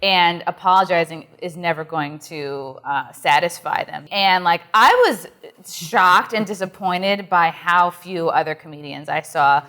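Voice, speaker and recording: An earnest woman comedian speaks with passion, using plenty of fillers. Her voice sits a little low. She is giving an interview. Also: measured pace, pushed and bright. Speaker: comedian guest. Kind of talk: interview conversation.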